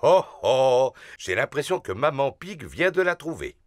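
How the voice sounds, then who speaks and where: A man's voice: medium pitch, big pitch swings, unhurried, noisy background. A man voicing a jolly, easygoing father pig in a children's cartoon. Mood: puzzled. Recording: music behind the voice.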